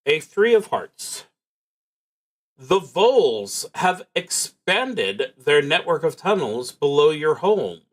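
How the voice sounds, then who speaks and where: A man streaming a solo tabletop roleplaying game, thinking aloud in a halting, musing way with pauses as he goes. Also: a little high, a bit bright, rough recording.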